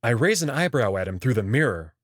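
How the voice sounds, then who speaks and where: A man's voice: mid-range voice, big pitch swings, brisk, no echo, clean studio sound. A man narrating a scripted story expressively as the host of a visual novel reading channel.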